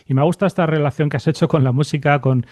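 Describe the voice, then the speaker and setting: Male podcast host, soft dark timbre, halting conversational delivery, dry recording. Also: a little high, steady tone, dry recording. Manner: halting, repeats words while thinking aloud. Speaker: podcast host, university radio lecturer. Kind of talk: conversational interviewing.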